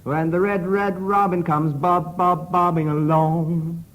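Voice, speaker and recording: A man's voice: fairly high pitch, fairly even intonation, takes it slowly. A man singing snatches and bantering as a contestant on a comedy panel show. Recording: noisy radio studio recording.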